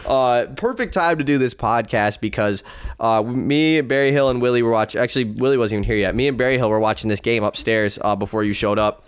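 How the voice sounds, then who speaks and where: A man co-hosting a casual sports podcast, a bit stuffy from a cold, talking excitedly in long run-on stretches. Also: mid-range voice, fast, smooth. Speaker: podcast co-host. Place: room at home, game on in background.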